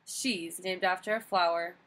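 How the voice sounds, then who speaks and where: A woman's voice: fairly low pitch, fairly even intonation, unhurried, fairly bright tone. Woman voicing a snooty, teasing schoolgirl mouse. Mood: taunting.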